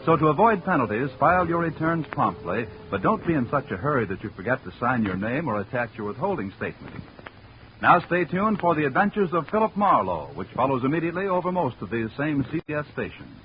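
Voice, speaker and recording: A man's voice: mid-range voice, big pitch swings, fast talker, a little hiss or hum. A man working as a network announcer, reading scripted credits and notices in 1950s radio style. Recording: muffled old radio broadcast, faint music.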